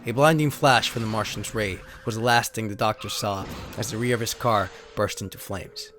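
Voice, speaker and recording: A man's voice: fairly low pitch, moderate pace, fairly bright tone, slight background noise. A man giving a theatrical, solemn dramatic reading of pulp science fiction stories, as a narrator. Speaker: narrator and host. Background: sound effects and ambience.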